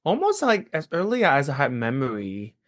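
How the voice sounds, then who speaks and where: A man's voice: a little high, wildly swooping pitch, moderate pace, a bit bright, no echo, clean studio sound. A man from Macau whose first language is Cantonese, co-hosting a casual podcast in a laid-back way with easy laughter. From Macau, China. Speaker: podcast co-host.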